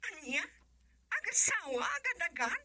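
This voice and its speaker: A woman's voice: extremely high pitch, big pitch swings, a little slow, pushed and bright, heavily acted. A woman with a Filipino accent acting in a radio drama as a coy young sweetheart. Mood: coy, surprised.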